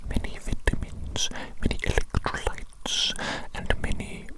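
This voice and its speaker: A performer playing a doting, eccentric evil doctor in a whispered ASMR roleplay, speaking in a breathy, theatrical way with formal, repetitive phrasing. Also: extremely high pitch, exaggerated voice, low-quality recording. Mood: sly, doting.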